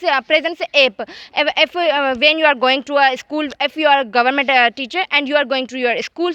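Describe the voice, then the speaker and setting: A woman's voice: high voice, bright, forceful tone, no echo. A woman from Pakistan, debating in a classroom and talking hesitantly with many fillers and restarts. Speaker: debate participant.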